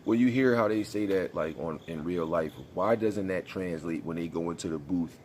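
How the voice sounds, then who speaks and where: Casting: congested young man, very low voice, slangy gaming vlogger, faint background hum. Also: moderate pace, darkish tone. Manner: laid-back, rambling, slangy. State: congested, sniffly. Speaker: gaming YouTuber. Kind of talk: casual vlog commentary.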